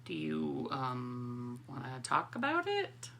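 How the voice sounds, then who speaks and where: A woman's voice: rumbling low, wildly swooping pitch, takes it slowly, fairly bright tone, a little hiss or hum, heavily acted. Woman acting a wry, awkward teenage girl. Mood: guarded concern. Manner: sarcastic, hesitant. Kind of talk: dramatic comics reading.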